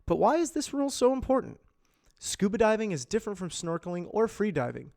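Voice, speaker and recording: A man with a somewhat high voice narrates scripted explainer copy. He speaks in a measured way and enunciates each term clearly, in the manner of an educational or corporate voice-over. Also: big pitch swings, a little mellow. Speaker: amateur voice actor practicing narration.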